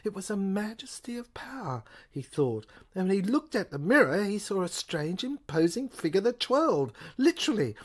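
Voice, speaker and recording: A woman narrating an audio play in a halting, theatrical way, repeating words for effect. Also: medium pitch, very animated, husky. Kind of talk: dramatic literary narration, reading all parts.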